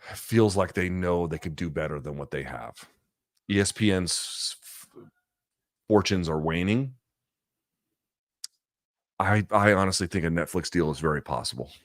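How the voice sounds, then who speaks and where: A man's voice: deep voice, moderate pace, pauses often, dry recording, clean studio sound. A middle-aged man hosting a live chat, reading viewer questions and answering in a blunt, profane, casual way. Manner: blunt, profane, animated asides.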